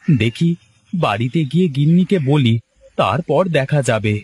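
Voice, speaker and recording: A man acts out a humble village fisherman in a cartoon tale. He is a poor husband with a middle-pitched voice. There is faint noise in the recording. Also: animated, moderate pace, darkish tone, close to an everyday voice. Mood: earnest, unsure. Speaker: cartoon character voice. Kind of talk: Bengali cartoon story dialogue.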